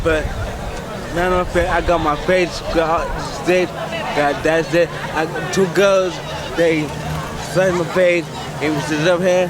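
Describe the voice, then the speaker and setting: Fast-talking man with Jamaican-New York accent. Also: a little high, heavy background noise. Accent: mixed Jamaican and New York. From United States. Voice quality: speech impediment. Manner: fast, tumbling storytelling. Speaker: interviewee. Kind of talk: candid street interview. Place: outdoors on a busy city street. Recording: loud street crowd behind.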